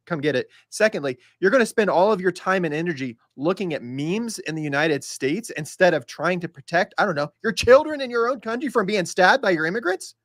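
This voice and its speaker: Podcast co-host from Texas, blunt and argumentative, dry close recording. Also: male voice, sits high, no echo. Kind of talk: casual opinion talk.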